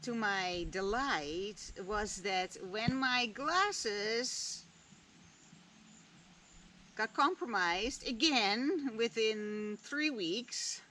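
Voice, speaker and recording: A woman whose first language is Dutch talks very slowly and deliberately, letting long pauses hang between phrases. She muses aloud in a candid, personal way, and a faint hum of room ambience sits behind her. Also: a little high, lively intonation, pushed and bright. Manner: candid, drops casual swearing. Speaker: spiritual vlogger. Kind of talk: reflective personal talk.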